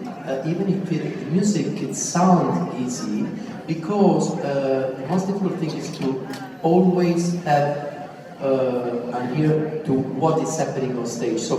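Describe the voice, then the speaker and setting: A conductor on a large stage speaking haltingly, pausing often as he searches for words. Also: a little high. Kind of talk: onstage discussion. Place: large opera house stage. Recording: echoey hall from far off, audience noise.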